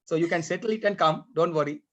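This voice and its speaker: A man running a mock speaking exam as tutor, talking haltingly with many fillers and often clearing his throat, heard over a video call. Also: fairly high pitch. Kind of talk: examiner questions over an online class. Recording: dull lo-fi online call audio.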